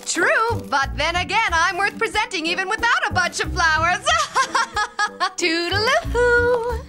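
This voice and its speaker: A woman voicing a flamboyant, vain florist who giggles and preens in an animated cartoon. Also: very high voice, measured pace, over music. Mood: preening. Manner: showy, giggling, self-admiring.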